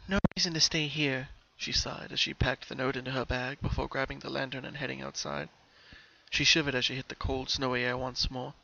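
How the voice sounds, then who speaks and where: Bright-voiced man narrating a story. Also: medium pitch, monotone, brisk, vocal fry, lo-fi sound. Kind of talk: dramatic reading of fan fiction, scripted narration.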